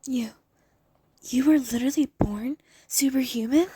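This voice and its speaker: A high, very breathy female succubus, acted by a woman in an ASMR audio drama. She half-whispers in a casual, inquisitive, teasing way, close and dry. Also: a little slow, mellow, dry recording, full character voice. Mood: puzzled. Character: curious, easily bored female succubus.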